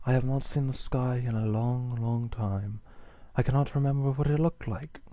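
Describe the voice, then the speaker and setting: A man voicing an old blind basilisk in a story reading, courteous and humble, with a slow, careful, dry delivery and a put-on Central American accent. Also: mid-range voice, no echo, muffled recording. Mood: sad. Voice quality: thin, dry, wheezy.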